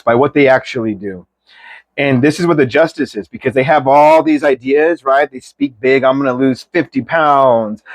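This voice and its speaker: A man's voice: mid-range voice, dry recording, poor audio. A man reads tarot for his viewers in an informal, chatty style, giving an emphatic pep talk with slang and mild swearing. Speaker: tarot reader.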